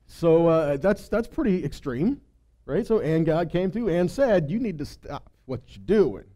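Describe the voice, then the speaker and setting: Mellow, higher-voiced man teaching scripture informally. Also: a little slow. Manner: animated storyteller, checks in with rhetorical "right?". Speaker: Bible teacher leading a weekly Torah study. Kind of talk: informal sermon, Bible teaching with acted-out paraphrase.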